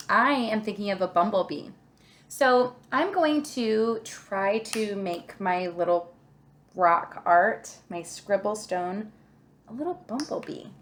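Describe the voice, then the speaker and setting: A woman's voice: on the low side, a little hiss or hum. A woman teaching young children a craft, talking through each step and thinking aloud in an encouraging way. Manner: encouraging, thinking aloud, asks the listener questions. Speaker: kindergarten teacher.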